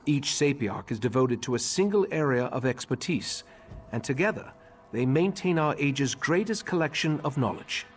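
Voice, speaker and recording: An actor playing a grave, remorseful elven mage leader in a fantasy game, speaking formally with measured, slightly husky delivery. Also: male voice, mid-range voice, expressive, a little hiss or hum, natural voice. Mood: measured, informative. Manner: measured, formal, solemn exposition. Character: grave elderly male high elf mage leader, remorseful and scholarly.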